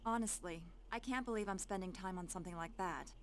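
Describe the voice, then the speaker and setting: A woman's voice: medium pitch, fairly quick, a little hiss or hum, lightly acted. An actress playing a friendly, self-assured adult woman scientist in a video game cutscene, conversational and familiar in manner. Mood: casual, helpful.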